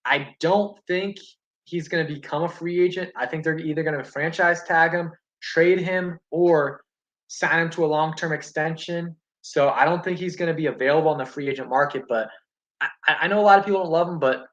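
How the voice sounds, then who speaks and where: A young man with a fairly high voice talks loosely from home, drifting between thoughts and restarting sentences as he answers viewer comments. The stream audio is dull and a little lo-fi. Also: a little fast. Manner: relaxed, rambling, many fillers and restarts. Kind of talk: casual live-stream chat reading comments.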